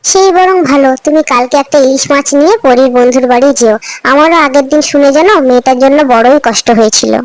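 A woman's voice: high-pitched, fast talker, no room sound, close to an everyday voice, low-quality recording. A woman playing a caring village housewife and mother in a children's cartoon, talking in a homely, chatty way. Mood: gentle approval. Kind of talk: Bengali children's cartoon story dialogue.

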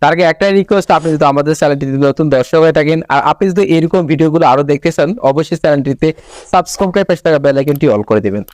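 A man delivers a channel host's introduction to viewers. He races through it at a very fast pace, with a somewhat high voice. The sound is dry and close. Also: a little mellow, no echo. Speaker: channel host. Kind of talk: scripted video introduction to viewers.

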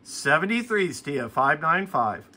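A man's voice: a little high, very animated, a little slow, very noisy. An American man giving casual how-to narration as a hobbyist video host, recorded in a room at home.

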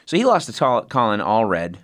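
A man's voice: on the low side, wildly swooping pitch, normal speed, fairly bright tone, no room sound, studio quality. A man from California hosting a podcast interview, talking casually with lots of restarts and the odd swear word. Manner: rambling, profane, many restarts. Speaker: podcast host.

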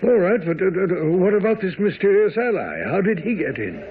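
A thin, tinny radio recording of a baffled gentleman sidekick in a mystery play. His high voice swings widely as he stammers in disbelief. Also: sing-song, normal speed, slight background noise. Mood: puzzled, doubtful. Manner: stammering, incredulous. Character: baffled, sceptical gentleman assistant to a detective. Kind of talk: old-time radio drama acting.

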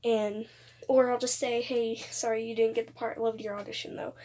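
A woman's voice: a little high, flat delivery, normal speed, dark tone. A young woman making a casual, rambling announcement to viewers, hesitant and repeating herself, with occasional voice cracks. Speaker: video creator announcing voice auditions. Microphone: phone voice recorder app.

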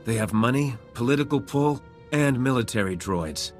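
An actor playing a composed, idealistic resistance politician in a video game, measured and formal. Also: male voice, medium pitch, expressive, close to an everyday voice. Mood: composed, earnest. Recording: music playing behind the voice.

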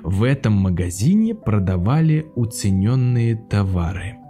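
A man, an actor, narrating a children's fairy tale in a lively, expressive way. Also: fairly low pitch, measured pace, faint music behind. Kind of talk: scripted storybook narration.

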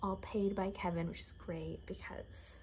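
A young woman speaking in a soft, breathy whisper, improvising a casual, rambling ASMR roleplay with a gently sardonic manner. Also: lowish voice, fairly even intonation, a little slow. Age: young adult. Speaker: ASMR creator playing a caretaker. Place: small home bedroom, indoors. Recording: faint background room noise.

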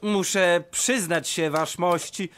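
A man's voice: sits high, fairly even intonation, slow, fairly bright tone, slight background noise. An actor playing a cowardly, boastful hanger-on in a theatrical verse comedy, pompous and whining in his delivery. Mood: anxious wheedling. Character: cowardly, boastful hanger-on, wheedling and anxious schemer.

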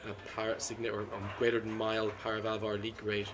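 A middle-aged man, a cardiologist being interviewed at a conference, talks in a measured, analytical way with frequent ums and restarts. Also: lowish voice, completely flat delivery, noisy background. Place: seated at a conference booth indoors. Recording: constant conference hall ambience.

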